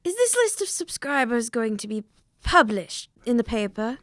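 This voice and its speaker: A woman acting a curt, suspicious wealthy gentleman in an amateur audio drama, guarded in manner. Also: on the high side, sing-song, moderate pace, no echo, clean studio sound.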